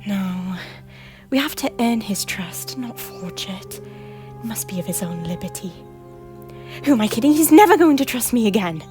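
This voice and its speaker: A woman voicing a guilt-ridden former queen who pleads in breathy, often whispered lines. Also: mid-range voice, lively intonation, normal speed, music in the background, natural voice. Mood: pleading. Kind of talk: fan audio drama acting.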